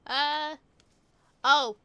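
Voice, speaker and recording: A woman livestreaming a game, chatting casually and excitably to her chat. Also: sits high, drawn-out words. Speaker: live streamer. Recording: faint game music behind. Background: game music.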